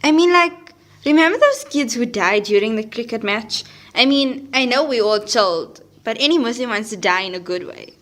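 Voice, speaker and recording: A woman's voice: on the high side, animated, moderate pace, fairly bright tone. A woman playing a chatty, wry but earnest teenage schoolgirl in an audio drama.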